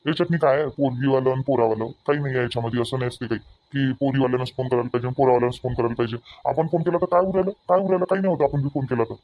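A man's voice: mid-range voice, fairly even intonation, rapid-fire, few pauses, natural voice. A man voicing a middle-aged village father in a comic family cartoon, argumentative and pleading, with a Varhadi Marathi accent. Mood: exasperated, reasoning. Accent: Varhadi Marathi of Vidarbha. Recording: slightly dull, lo-fi sound.

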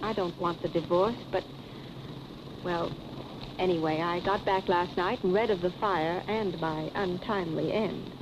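An actress playing a poised, wry young wife in 1940s film style, cool and arch in manner. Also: female voice, on the low side, steady tone, a little slow, noisy background, lightly acted. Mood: composed, teasing. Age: young adult. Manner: cool, arch, composed irony. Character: wry, poised young wife attending her own funeral. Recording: dull old film soundtrack with music.

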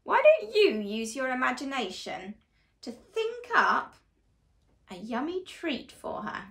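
A young woman reading a picture book aloud to small children in an animated, expressive, deliberate storytelling manner. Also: high-pitched, vocal fry. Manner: exaggerated, expressive, slow and deliberate delivery to children. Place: small living room, on a sofa indoors.

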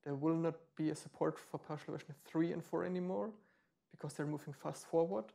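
Man, 30s, meetup presenter; dark gravelly voice, explains with ums and restarts. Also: on the high side, steady tone, a little fast. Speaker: meetup speaker. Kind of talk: live tech demo talk. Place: meetup room.

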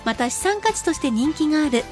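A woman with a high, very lively voice delivers a radio shopping pitch. She is emphatic and spells out key figures carefully. Music plays clearly behind her. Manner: emphatic, slowing to spell out prices and numbers. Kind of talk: live radio sales pitch. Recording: music bed under the voice.

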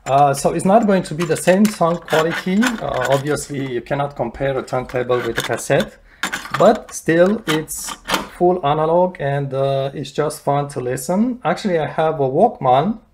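A man giving a casual, unscripted how-to demonstration in a halting, hesitant way, searching for words as he goes. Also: fairly high pitch, lively intonation, noisy background. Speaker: hobbyist demonstrator. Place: indoors at home beside hi-fi equipment.

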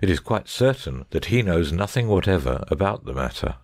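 A man's voice: low-pitched, very animated, a little fast, creaky, no room sound, studio quality, heavily acted. A man playing a shrewd, self-assured detective in a dramatised audiobook, speaking precisely and formally. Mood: brisk, resolute.

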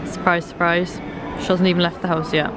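A woman's voice: fairly low pitch, little pitch movement, a little fast, background noise. A young woman in her twenties chatting casually and rambling to a camera held at arm's length, in a car and at home. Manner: chatty, rambling, easily tired. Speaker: vlogger. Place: car, then bedroom at night, then kitchen.

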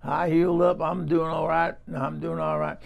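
A man voicing a gruff old man with sore dentures, speaking. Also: fairly high pitch, level tone, normal speed, muffled recording. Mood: grumbly.

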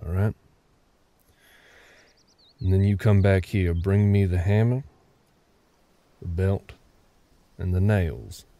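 A man playing a gruff but caring older cowboy ranch hand in an intimate audio roleplay, with a low gravelly voice and a terse, unhurried drawl. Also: warm tone. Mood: instructing, steady. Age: middle-aged. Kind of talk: intimate ASMR roleplay acting.

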